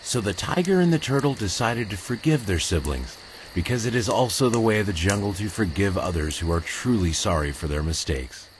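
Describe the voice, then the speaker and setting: Low-voiced man narrating a children's story. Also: lively intonation, brisk, a little hiss or hum, rough recording.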